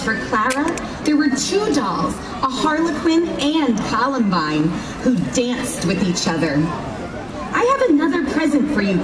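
Slow-reading woman in an echoey square. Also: on the high side, recorded from a distance. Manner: unhurried, expressive reading. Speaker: storyteller. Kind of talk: reading a storybook aloud. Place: outdoor town square at night. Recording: echoey open air from afar with crowd.

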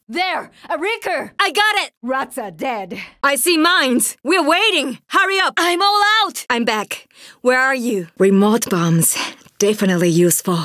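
A woman's voice: sits high, a bit bright, no echo, clean studio sound, exaggerated voice. A woman playing a tough young zombie-apocalypse survivor in a video game, calling out clipped, urgent combat lines. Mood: focused, terse.

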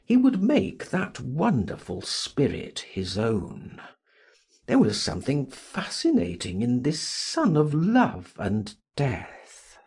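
A man reading a literary audiobook as a dramatic narrator, in a theatrical, expressive and deliberate manner. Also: medium pitch, slightly rough.

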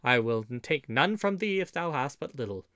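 A man's voice: medium pitch, lively intonation, moderate pace, a bit bright, no echo, lightly acted. Guy doing a cocky old-timey outlaw chief, bossy but fancy talker, storybook style, dry sound. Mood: insistent. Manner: archaic, florid, commanding speech. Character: bold, swaggering, mock-courteous outlaw leader, stern when crossed. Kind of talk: audiobook storybook character.

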